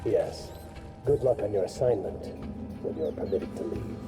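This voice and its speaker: A man's voice: low-pitched, lively intonation, unhurried. An actor playing an uneasy, questioning assassin henchman in a video game, speaking formally. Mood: doubtful, impatient. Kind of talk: scripted game dialogue. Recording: echoey, distant, music behind.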